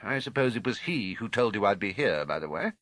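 Measured, calm old wizard played by a man. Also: on the low side, no room sound. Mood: firm, matter-of-fact. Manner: measured, unhurried. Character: calm, wise, gently whimsical old male wizard.

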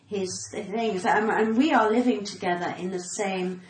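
A woman's voice: medium pitch, fairly even intonation, unhurried. A British woman in her sixties with a cut-glass, well-spoken voice, talking frankly and earnestly as an interview guest. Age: 60s. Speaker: podcast guest, stand-up comedian. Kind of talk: candid conversational interview. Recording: roomy echo, clear background noise.

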